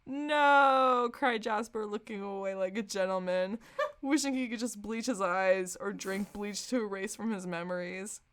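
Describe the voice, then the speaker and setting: A woman's voice: medium pitch, normal speed. A woman podcast host giving a comedic, mock-serious dramatic reading of fan fiction, often sounding amused.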